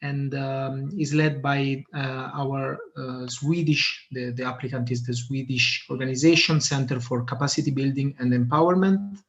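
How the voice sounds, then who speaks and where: A man moderating an online debate over a video call, pausing often with fillers. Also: medium pitch, fairly even intonation. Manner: hesitant, many fillers. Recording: muffled video call audio.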